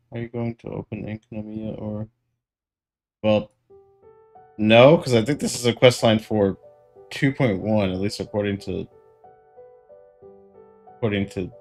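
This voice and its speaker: A man who streams games, chatting casually in a halting mutter, repeating words as he thinks aloud. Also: fairly low pitch, a little mellow. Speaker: game streamer. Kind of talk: casual gameplay chat. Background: video game music.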